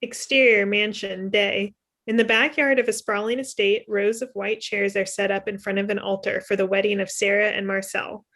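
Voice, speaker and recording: A woman with a mid-pitched voice reads stage directions. It is scripted narration for a table read, recorded at home over a video call. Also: flat delivery, moderate pace, fairly bright tone. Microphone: video call computer microphone.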